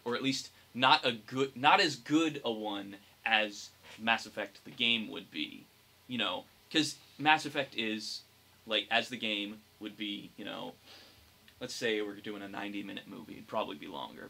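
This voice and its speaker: A man's voice: lowish voice, creaky, sharp tone, a little hiss or hum. A young man co-hosting a casual podcast, thinking aloud as he explains his points. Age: young adult. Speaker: podcast co-host.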